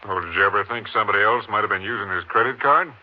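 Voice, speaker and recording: An actor playing a gruff Texas Ranger captain who questions skeptically, in 1950s radio drama style. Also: male voice, lowish voice, rapid-fire, lightly acted. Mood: skeptical, curious. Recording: muffled old 1950s radio recording.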